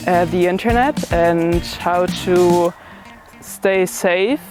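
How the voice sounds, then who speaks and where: A woman's voice: on the low side, slow. A young woman from Germany with a German accent giving a testimonial as an exchange student. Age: young adult. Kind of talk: interview testimonial. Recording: music playing behind the voice. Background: music.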